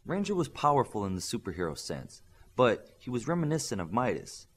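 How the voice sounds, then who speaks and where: A man's voice: medium pitch, very animated, a little fast, breathy edge. A man reading a scripted fiction story as an audiobook narrator.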